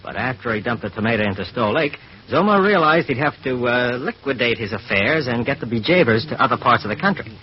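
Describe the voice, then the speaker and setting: Wisecracking cop, muffled radio sound. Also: male voice, mid-range voice, expressive, a little fast, husky. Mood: matter-of-fact. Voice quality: slangy tough-guy talk. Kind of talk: 1940s radio drama acting. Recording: muffled vintage radio recording.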